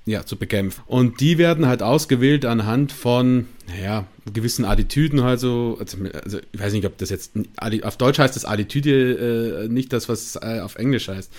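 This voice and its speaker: A man's voice: medium pitch, normal speed, few pauses, darkish tone. Male, German dialect speaker, eager rambling explainer, casual podcast guest. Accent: regional German dialect. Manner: enthusiastic, rambling with fillers and restarts.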